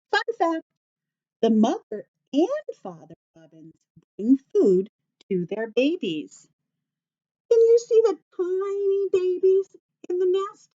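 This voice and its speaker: An American woman teacher reads a children's book aloud at a slow pace. Her voice swings widely in a sing-song way as she puts questions to young listeners. The recording is slightly dull and lo-fi. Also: sits high, warm tone. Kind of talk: children's story read-aloud.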